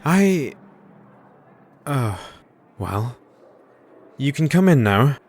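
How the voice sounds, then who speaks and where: An actor playing an uneasy, courteous asylum doctor with a hesitant, formal manner in an audio drama. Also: male voice, medium pitch, big pitch swings, drawn-out words, slight background noise, full character voice.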